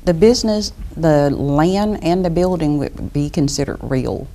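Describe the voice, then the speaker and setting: A woman's voice: low voice, a little slow, dark tone. A middle-aged woman from Florida, a property appraiser explaining her work in a studio interview.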